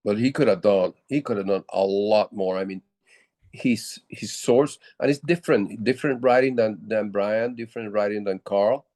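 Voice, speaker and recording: An older man from Puerto Rico with a low voice, telling stories as a musician guest in a casual interview, with many halting restarts. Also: a little mellow, no echo.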